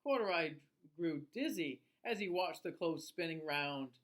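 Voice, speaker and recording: Male storybook narrator, teacher reading to young children, highly animated intonation. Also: measured pace.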